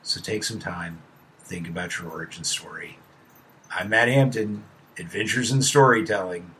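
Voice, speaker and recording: A man's voice: deep voice, normal speed, fairly bright tone. A man in his late thirties hosting a casual motivational monologue, emphatic and talking straight to the listener, sometimes dropping to a near-whisper. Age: 30s. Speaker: host.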